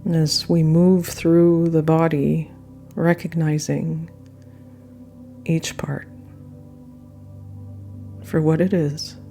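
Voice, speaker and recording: A woman guiding a yoga nidra meditation with gentle, unhurried phrasing and frequent pauses. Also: low voice, little pitch movement. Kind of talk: guided meditation narration. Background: soft meditation music.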